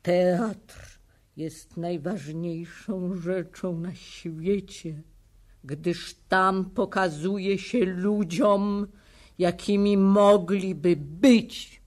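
An actress playing a proud, bossy old theatre widow in a radio play, grumbling and emphatic. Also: female voice, on the low side, darkish tone. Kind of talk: Polish radio play acting.

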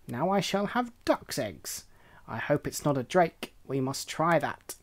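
An old, half-blind cottage woman from a fairy tale, voiced by a storyteller. She speaks slowly and sounds pleased with herself. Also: mid-range voice, very animated. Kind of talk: storybook reading.

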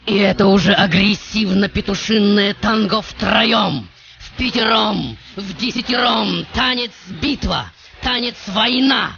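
A man playing a passionate aging tango composer in a poetic, theatrical radio monologue with dramatic pauses. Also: high-pitched, creaky, lo-fi sound, voice pushed up, heavily acted. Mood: feverish, impassioned. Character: passionate aging male tango composer looking back on his life. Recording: loud music behind the voice.

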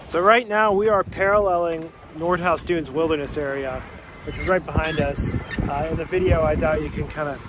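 A man's voice: fairly high pitch, moderate pace. A young man chatting casually with lots of ums as he narrates a sailing trip, filmed on a phone at arm's length out on the water. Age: young adult. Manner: relaxed, chatty, many ums and uhs. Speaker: sailing vlogger. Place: on a sailboat out on open water. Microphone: phone held at arm's length. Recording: phone audio with loud wind noise. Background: wind and boat noise.

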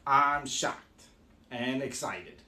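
A man in his forties, hoarse from losing his voice, chatting upbeat as he demonstrates mead making live in a small room. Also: mid-range voice, animated, very slow, bright, forceful tone, echoey room. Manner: chatty, upbeat, explains as he works. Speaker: meadery owner hosting a live stream. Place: small home meadery room, indoors.

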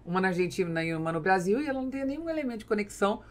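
A woman's voice: medium pitch, animated, racing. A woman with a Brazilian accent hosting a podcast, explaining at length as she builds her questions in a conversational way. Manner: explanatory, long linked questions. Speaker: podcast host. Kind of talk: conversational interviewing. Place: small foam-padded indoor podcast studio. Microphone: studio mic on boom arm.

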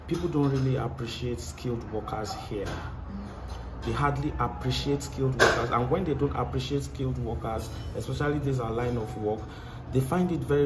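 A man's voice: mid-range voice, low-quality recording. A man in his thirties with a Nigerian accent, a mechanic answering interview questions earnestly, repeating phrases for emphasis, on a lapel mic outdoors at his workshop. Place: outdoor workshop by a tiled wall. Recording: clear outdoor background noise.

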